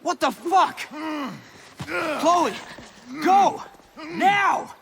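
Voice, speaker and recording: Slow-talking, uneasy criminal peacemaker. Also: male voice, extremely high pitch, a crowd in the background, exaggerated voice. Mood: frantic. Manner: placating but firm. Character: uneasy male criminal associate trying to keep peace.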